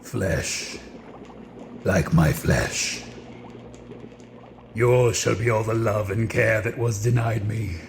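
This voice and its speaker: A gravelly male monster in an audio drama, low and brooding. He speaks very slowly and solemnly, commanding and menacing, yet aching for love. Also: slight background noise. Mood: yearning, solemn.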